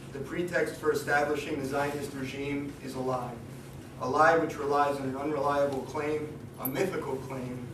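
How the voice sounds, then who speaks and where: A man giving a seminar lecture, hesitant with many ums and restarts, his voice going hoarse. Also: mid-range voice. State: losing his voice. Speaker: guest lecturer. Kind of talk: academic seminar talk. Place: lecture room. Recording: echoey room recorded from a distance, noisy.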